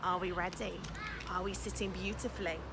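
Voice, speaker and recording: A woman teacher reading a storybook to young children in an expressive, encouraging way, with dramatic emphasis and chatty questions to her listeners. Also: lowish voice, brisk, gravelly, heavy background noise.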